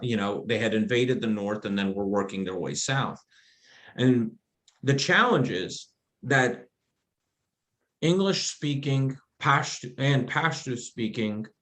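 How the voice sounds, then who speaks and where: Chatty man telling stories with restarts. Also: medium pitch. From Afghanistan, raised in the United States. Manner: animated, laughs often, frequent restarts. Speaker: podcast guest, entrepreneur. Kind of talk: casual storytelling conversation.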